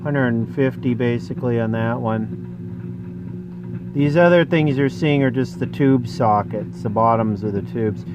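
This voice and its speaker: A man's voice: mid-range voice, a little slow, music in the background, poor audio. A man narrating a radio repair at his workbench in a casual way, explaining technical details as he thinks aloud.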